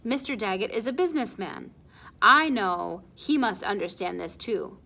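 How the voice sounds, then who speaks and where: A woman's voice: medium pitch, expressive, moderate pace, creaky, bright, forceful tone, full character voice. A woman voicing a proud, stern, hard-working father with emphatic, declarative phrasing in a storybook. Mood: firm, lecturing.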